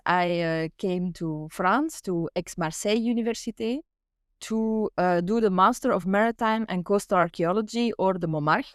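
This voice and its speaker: Smooth-voiced young Flemish woman. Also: lowish voice, no room sound, clean studio sound. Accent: Flemish Belgian. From Belgium (Flanders). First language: Dutch. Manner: chatty, with many fillers and hesitations. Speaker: guest, doctoral researcher. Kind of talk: casual conversational interview.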